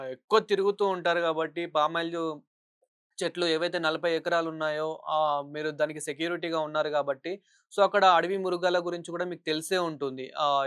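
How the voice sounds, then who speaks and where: A man's voice: a little high, a bit bright, clean tone, no echo, clean studio sound. A man working as a news interviewer, putting long, wordy questions in a phone interview.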